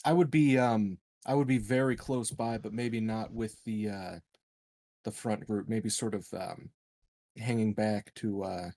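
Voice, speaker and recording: Halting man with a lowish voice. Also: steady tone, warm tone. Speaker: tabletop game player. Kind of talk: casual in-game talk.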